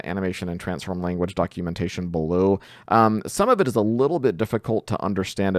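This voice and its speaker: A man's voice: deep voice, dry recording, clean studio sound. A man giving a casual coding tutorial, thinking aloud informally as he works through it. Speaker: tutorial presenter.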